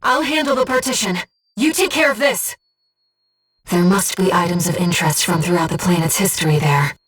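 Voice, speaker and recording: A woman voicing a gentle, disembodied young female companion in a video game, giving a mercenary pilot composed, earnest and precise tactical reports in a soft, breathy voice. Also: on the low side, normal speed, recorded from a distance. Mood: urgent. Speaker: mission operator companion.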